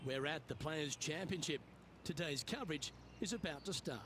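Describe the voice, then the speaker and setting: A polished TV golf announcer, voiced by a man for a sports video game, smooth and welcoming in a scripted broadcast way. His voice sits a little high for a man. A faint hiss of game audio runs underneath. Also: normal speed, close to an everyday voice.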